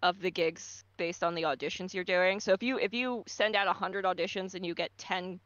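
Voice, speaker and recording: A woman's voice: lowish voice, steady tone, brisk, a bit bright, dry recording, muffled recording. A woman voice actor giving casual advice on a livestream, explaining at length with frequent ums and stammered restarts. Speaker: voice actor guest.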